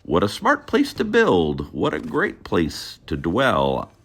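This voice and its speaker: A man voicing a bold, pushy little male songbird that squeaks and exclaims. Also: wildly swooping pitch, slow pace, fairly bright tone, breathy edge, heavily acted. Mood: exclaiming.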